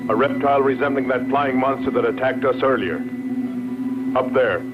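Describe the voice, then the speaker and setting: A man's voice: medium pitch, fairly even intonation, normal speed, muffled recording, lightly acted. A man playing a keen, assured astronaut explorer with dramatic, declarative delivery in dubbed 1960s science-fiction film style. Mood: intrigued. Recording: thin old film track, loud music.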